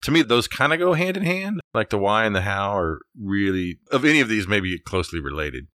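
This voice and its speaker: A man's voice: lowish voice, very animated, fairly quick, bright, forceful tone, no room sound, clean studio sound. A man with an American accent co-hosting a casual, conversational discussion. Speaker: podcast co-host, architecture teacher.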